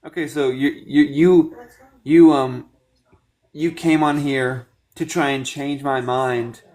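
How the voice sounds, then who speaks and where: A man's voice: medium pitch, steady tone, slow pace, a little mellow. A man in his late twenties hosting a live stream, chatting casually and pressing a guest with questions, cutting in to finish his point. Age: 20s. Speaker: livestream host. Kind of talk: casual live chat and debate.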